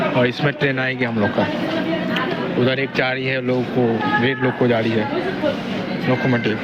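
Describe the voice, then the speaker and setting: A man with a Bihari accent chatting informally to his viewers as a travel vlogger. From Bihar, India. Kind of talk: casual vlog chat to camera. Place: busy outdoor market, railway station and train. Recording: noisy station and street, vehicle rumble. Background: loud traffic, engine and station noise.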